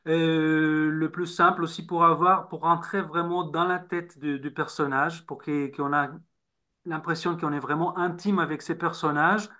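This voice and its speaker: Halting man. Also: on the high side, pushed and bright. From Israel. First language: Hebrew. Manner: halting, repeats words while searching. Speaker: novelist guest. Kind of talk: interview answers.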